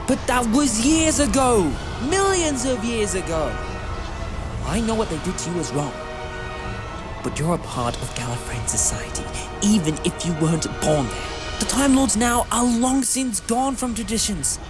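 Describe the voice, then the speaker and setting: A man's voice: sits high, lively intonation, moderate pace, over music. A man playing an eccentric time-travelling hero in an audio drama, wry, modest and moralising, with a theatrical manner. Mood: earnest, indignant.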